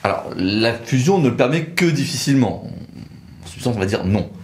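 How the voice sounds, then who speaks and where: A man's voice: medium pitch, animated, moderate pace, darkish tone, slight background noise. A man giving a technical legal explanation in a methodical, precise way, as an expert in discussion. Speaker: lawyer explaining procedures. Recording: roomy, echoing sound.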